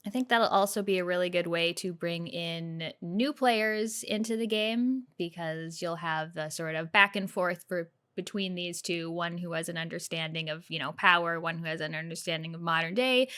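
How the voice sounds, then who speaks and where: A woman's voice: fairly low pitch, moderate pace, few pauses, a bit bright, clear, resonant tone. A woman hosting a gaming video, giving casual reaction commentary.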